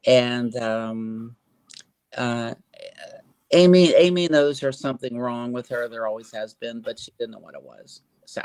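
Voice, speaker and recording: A man's voice: medium pitch, a little slow, a little mellow, smooth, dry recording. Older man rambling through fillers, dry sound. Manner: rambling, hesitant, many fillers and restarts. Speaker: author being interviewed. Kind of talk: casual interview chat.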